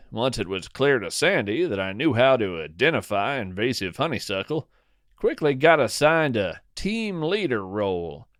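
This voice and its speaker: Slow, expressive man narrating a story. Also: medium pitch, no room sound, studio quality. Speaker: podcast host and narrator. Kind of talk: scripted first-person storytelling narration.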